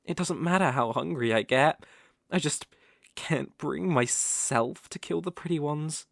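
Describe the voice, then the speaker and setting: A performer voicing a smooth-talking, predatory naga with a teasing, coaxing drawl and soft chuckles, in an ASMR roleplay. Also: medium pitch, measured pace, no echo, studio quality. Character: smooth-talking, sly, predatory naga fond of cute prey.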